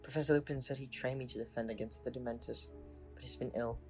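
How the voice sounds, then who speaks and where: Glum, mid-pitched wizard schoolboy, acted by a man. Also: fairly even intonation, fast talker, faint music behind, close to an everyday voice. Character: teenage wizard schoolboy, glum and plain-spoken. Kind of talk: fan audio drama acting.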